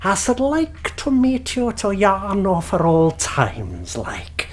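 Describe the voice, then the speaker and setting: A man's voice: high-pitched, wildly swooping pitch, slow pace, full character voice. An actor playing a menacing blind old beggar seaman in a radio play, whining pleas and barking orders. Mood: sly, gloating.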